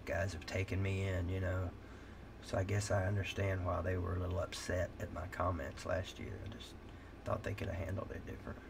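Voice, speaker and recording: A man's voice: low-pitched, flat delivery, a little fast, vocal fry, background noise. A middle-aged man hosting a late-night livestream, talking in a rambling, candid way with swearing, and his voice is giving out. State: losing his voice. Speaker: livestream host.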